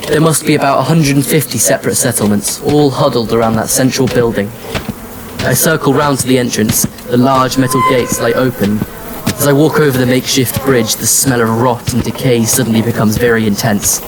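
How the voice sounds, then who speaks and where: A man playing a somber, determined wanderer, narrating a science fiction audio drama in the first person, measured and reflective. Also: medium pitch, fairly even intonation, a loud crowd, natural voice, low-quality recording.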